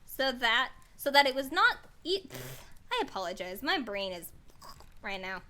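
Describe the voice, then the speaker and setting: Woman, high-pitched, very animated intonation, storybook reader on a live stream, chatty, playful, casual asides. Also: measured pace. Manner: chatty, playful, self-deprecating, mild exclamations.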